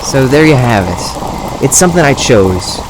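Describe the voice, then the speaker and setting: A man playing a patient, reflective dragon in human form in an audio drama, speaking in a measured, thoughtful way. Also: medium pitch. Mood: musing, candid. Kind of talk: audio drama character acting. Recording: steady noise behind the voice.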